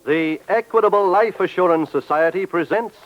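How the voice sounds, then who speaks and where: High-voiced American man opening radio show. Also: normal speed. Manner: formal, declamatory. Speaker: radio announcer. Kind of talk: scripted 1940s radio program opening. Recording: muffled old radio recording, loud brass music.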